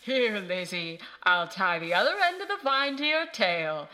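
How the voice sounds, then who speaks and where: A woman voicing a kindly male lizard wizard who speaks in a gentle, courtly way in a children's story. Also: mid-range voice, lively intonation, measured pace, slight background noise, pitched below the performer's own voice.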